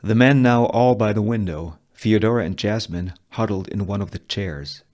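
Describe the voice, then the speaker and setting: A man reading screenplay narration and scene headings in a measured, deliberate way, in short phrases. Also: on the low side, darkish tone. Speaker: table read narrator reading all parts.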